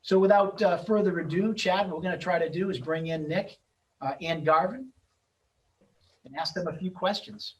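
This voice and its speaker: A man's voice: high-pitched, moderate pace, distant mic, a little hiss or hum, rough recording. A middle-aged man speaking as the host and moderator of an event, in a semi-formal, loosely scripted introducing style on a video-call microphone.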